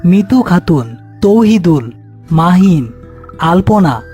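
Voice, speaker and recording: A man's voice: high voice, sing-song, slow pace, warm tone, soft background music. A man narrating a scripted horror cartoon story.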